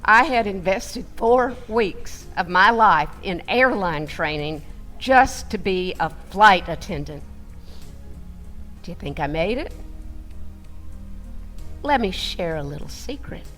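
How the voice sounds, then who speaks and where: A woman playing an excitable flight attendant who tells her training story theatrically, with deliberate delivery and loud commands. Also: mid-range voice, soft background music. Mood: earnest, dramatic. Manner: dramatic, deliberate, breaking into loud barked commands. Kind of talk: theatrical first-person storytelling for a radio play.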